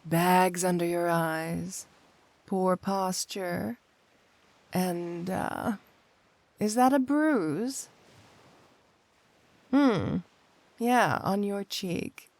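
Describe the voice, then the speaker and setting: A woman's voice: on the low side, darkish tone. A woman playing a flirty, possessive supervillain cat burglar wife in an intimate ASMR roleplay, coyly drawing out her endearments. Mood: concerned, probing. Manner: coy, drawn-out, purring endearments with pointed hums.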